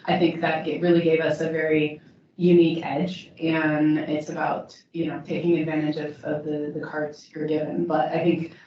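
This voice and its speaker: A woman founder answering a panel question in a steady, measured way, with frequent restarts. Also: sits low, a little hiss or hum. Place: event hall. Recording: distant mic in echoey hall, dull sound.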